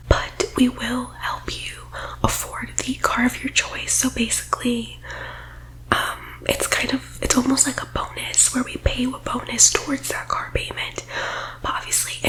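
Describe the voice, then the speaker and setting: A woman whispering breathily in a persuasive ASMR roleplay, like a team leader giving a sales pitch, close to the microphone. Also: bright, forceful tone, poor audio.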